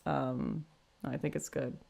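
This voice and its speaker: Low-voiced twenties woman streaming, chatty and frank, lots of restarts. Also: sing-song, takes it slowly, vocal fry, darkish tone. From Canada. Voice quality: deep voice for a woman. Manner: chatty, frank, self-deprecating, thinks aloud with restarts. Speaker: livestream host. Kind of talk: casual livestream chat reading super chats.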